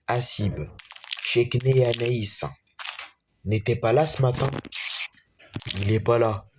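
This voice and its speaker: A man improvising a homemade school story, voicing every character himself in a halting, repetitive way with frequent restarts. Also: mid-range voice, noisy background. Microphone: screen-recorder capture.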